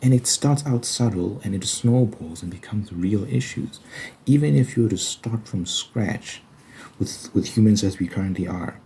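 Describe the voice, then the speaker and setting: A man speaks in a very deep, soft-edged, mellow voice. He delivers an informal ASMR monologue, pressing his argument with emphatic rhetorical questions. A faint noise sits behind the voice. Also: moderate pace, creaky. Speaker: host of an ASMR Bible study channel.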